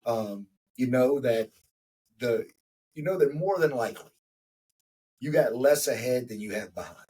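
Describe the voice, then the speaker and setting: Forties male host, husky tone, loose reflective delivery, mic set a bit back. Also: medium pitch, animated, a little slow, mellow, far from the mic. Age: 40s. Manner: reflective, rambling with many fillers. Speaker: podcast host. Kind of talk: casual interview conversation.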